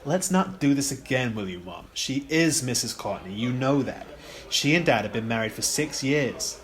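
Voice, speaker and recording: A man's voice: medium pitch, expressive, normal speed, a little hiss or hum, natural voice. A man acting a polite, uneasy young son in a radio play, speaking in a hesitant, placating way. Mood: weary, restrained.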